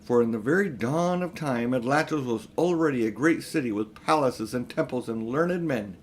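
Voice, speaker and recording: Male audiobook narrator, older, mid-pitched, expressive and varied in intonation for children's fiction. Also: moderate pace, slight background noise. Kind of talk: expressive scripted storybook narration.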